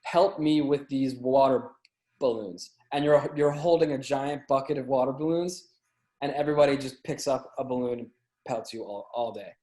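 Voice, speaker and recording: A man chatting casually as a podcast guest, telling anecdotes in a halting way, often repeating and stumbling over words. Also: medium pitch, mellow. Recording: roomy, echoey sound.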